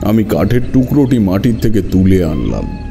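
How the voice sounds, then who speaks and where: A man's voice: on the low side, big pitch swings, normal speed, creaky, dark tone, slight background noise. A man narrating a horror story dramatically in the first person.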